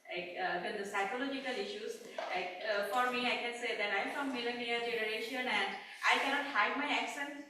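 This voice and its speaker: A woman in her thirties with a Bangladeshi accent asks a question at an academic talk, halting with many filler sounds. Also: a little high, noisy background. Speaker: audience member asking a question. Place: lecture room. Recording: echoey room from far off.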